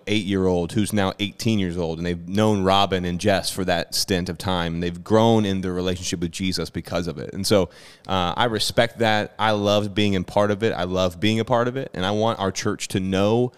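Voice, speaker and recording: A man's voice: deep voice, clean tone. A man hosting a church podcast, interviewing conversationally in an earnest, affirming manner. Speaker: podcast host, church leader.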